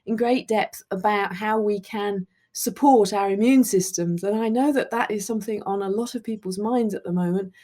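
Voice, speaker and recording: A woman's voice: medium pitch, normal speed, hardly any pauses, warm tone. A woman hosting a podcast, reading an upbeat scripted intro and then chatting with her guest. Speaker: podcast host.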